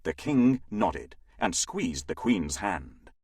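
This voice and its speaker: Husky, highly animated male storyteller. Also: takes it slowly, dry recording, studio quality. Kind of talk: scripted children's fairytale narration.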